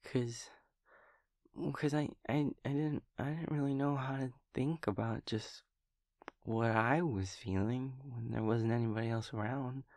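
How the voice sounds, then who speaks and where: A man playing a gentle, naive, scatterbrained young daemon friend in an audio roleplay, speaking in hesitant, childlike rambles. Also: mid-range voice, measured pace, no echo, clean studio sound, lightly acted. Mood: earnest, confused. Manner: hesitant, stammering, rambling, childlike, softly giggling.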